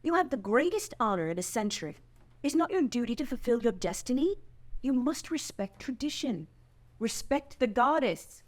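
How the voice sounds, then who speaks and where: High priestess, played by an actress: stern, intimidating female, imperious and formal, devout guardian of tradition. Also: sing-song, normal speed. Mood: stern rebuke. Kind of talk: screenplay table read.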